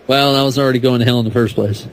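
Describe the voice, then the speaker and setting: A man's voice: medium pitch, steady tone, rapid-fire, background noise. A man in casual comedic podcast banter, joking and rambling with mock earnestness.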